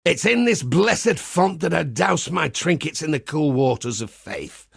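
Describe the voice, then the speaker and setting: A man voicing a pious, wry crusader priest in a video game, with a husky, gravelly voice and a measured, folksy preacher's manner. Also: a little high, lively intonation, a bit bright, no echo, studio quality, heavily acted. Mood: warm, approving. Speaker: game character. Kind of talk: scripted game character monologue.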